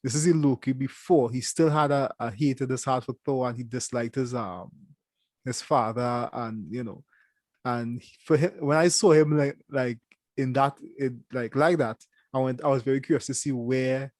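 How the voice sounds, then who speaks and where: A young man with a Trinidadian accent, co-hosting a casual show review and talking in halting bursts with many restarts. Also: mid-range voice, darkish tone, dry recording. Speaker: co-host.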